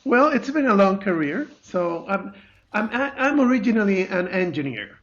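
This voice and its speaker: A man's voice: high voice, very animated, moderate pace, a bit bright, muffled recording. A middle-aged man from Venezuela with a Venezuelan Spanish accent, chatting casually as an interview guest. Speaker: podcast guest, compensation and benefits consultant.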